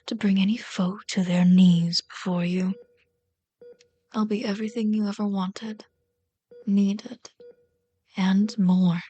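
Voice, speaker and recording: A woman's voice: mid-range voice, darkish tone, natural voice. A woman playing an obsessive, lovelorn villain in an ASMR roleplay, bitter and pleading, speaking intimately and dramatically with frequent drops toward a whisper. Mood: devoted, obsessive. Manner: slow, intimate, dramatic delivery, often dropping to a whisper.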